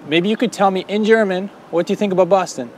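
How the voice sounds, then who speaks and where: A man's voice: high voice, steady tone, quick pace, slight background noise. A man hosting street interviews, asking friendly questions off camera outdoors. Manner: friendly, short reactions. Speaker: interviewer, host.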